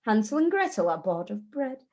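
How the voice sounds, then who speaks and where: A woman reading a rhyming children's story in a deliberate, sing-song way, as a teacher would at story time. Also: fairly high pitch, sing-song, darkish tone.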